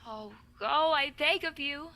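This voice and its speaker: A woman's voice: high voice, unhurried, pushed and bright, heavily acted. A woman playing a weak, dying young woman in a vintage-style radio drama, plaintive and weary. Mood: agitated, pleading. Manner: plaintive, weary, breathy at times.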